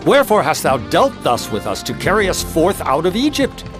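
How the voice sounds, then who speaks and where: Scared israelites, high strained voice, pleading, swinging pitch, music. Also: male voice, huge pitch swings, moderate pace. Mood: fearful. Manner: fearful, pleading complaint. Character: frightened crowd of Israelites, complaining. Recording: voice over music.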